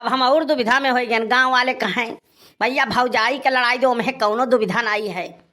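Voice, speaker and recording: A man's voice: very high voice, fairly even intonation, normal speed, pushed and bright. A man playing an aggrieved, boastful village husband in a cartoon comedy, grumbling and scolding with a rustic eastern Uttar Pradesh accent. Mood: rueful grumbling. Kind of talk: Awadhi cartoon comedy dialogue.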